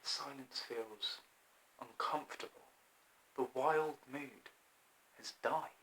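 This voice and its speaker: A man in his twenties reading a rhyming children's story in an expressive, deliberate, theatrical way. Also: medium pitch, low-quality recording. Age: 20s. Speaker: author reading own children's book. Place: small plain room indoors.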